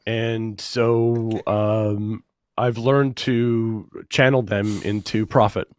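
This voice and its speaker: A man's voice: on the low side, drawn-out words, smooth, dry recording. A man whose voice is going after bronchitis, joking drily as a guest in a casual interview. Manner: dry, deadpan joking. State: losing his voice after bronchitis. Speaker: comedian guest.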